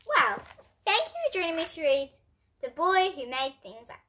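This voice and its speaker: A young girl with a high, bright voice reads a storybook aloud. Her delivery is expressive and deliberate, swinging widely in pitch and pausing for drama as she hosts the reading. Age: child. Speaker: young host reading children's books aloud.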